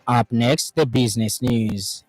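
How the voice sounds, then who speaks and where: A man with a Zambian accent reading a scripted radio news bulletin in a formal, measured way. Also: medium pitch, fairly even intonation, music in the background, rough recording. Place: radio studio.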